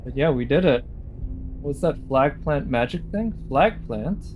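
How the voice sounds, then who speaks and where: A man's voice: on the high side, animated, a little slow. A man giving casual live commentary on a video game, thinking aloud and often questioning what is in front of him. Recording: game music behind the voice. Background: loud video game music.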